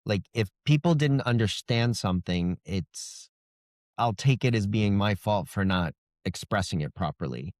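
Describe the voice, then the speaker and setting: Earnest, lower-voiced man chatting. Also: lively intonation, unhurried, a little mellow, dry recording, clean studio sound. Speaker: guest, indie fantasy author. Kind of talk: casual interview chat.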